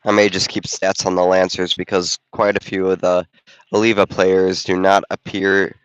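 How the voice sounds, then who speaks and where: Congested man with a deep, smooth voice. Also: normal speed, dry recording, muffled recording. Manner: relaxed, chatty, thinking aloud. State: congested from a cold. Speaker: volunteer game commentator and camera operator. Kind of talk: casual play-by-play commentary. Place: school gym during a basketball game.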